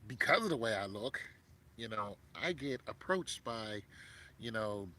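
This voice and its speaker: A man's voice: on the low side, animated, unhurried, bright, forceful tone, a little hiss or hum. A man in his fifties talking casually to a viewer, rambling with many fillers and restarts. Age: 50s. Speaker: vlogger.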